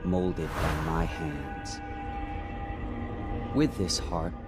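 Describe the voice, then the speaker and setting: An actor playing a calm, enigmatic supernatural being from a video game, speaking in a measured, solemn, cryptic way. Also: male voice, low voice. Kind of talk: scripted video game monologue. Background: music.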